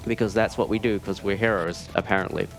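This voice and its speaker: A man's voice: fairly low pitch, fairly even intonation, quick pace, low-quality recording. A man with a New Zealand accent giving casual, dryly joking live commentary as he plays a video game. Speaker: speedrunner presenting a live run. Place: live speedrunning marathon event. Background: game music.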